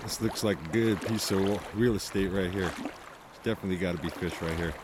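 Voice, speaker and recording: A man in his forties, casually talking to a handheld camcorder while wading in a river, relaxed and self-deprecating. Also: low voice. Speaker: fly fishing vlogger. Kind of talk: casual outdoor vlog commentary. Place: outdoors, wading in a river in early spring. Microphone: handheld camcorder mic. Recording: wind noise on a camcorder mic outdoors. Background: wind and rushing water.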